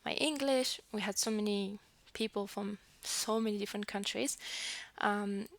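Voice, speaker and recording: A young woman from New Caledonia talks to her camera in a casual, rambling way, her colouring her speech. Her voice has a gravelly edge, picked up close on a headset mic in a dry, dead room. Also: mid-range voice, moderate pace, no echo. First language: French. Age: 20s. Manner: chatty, frequent fillers and restarts. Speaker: vlogger. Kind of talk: casual self-introduction to camera.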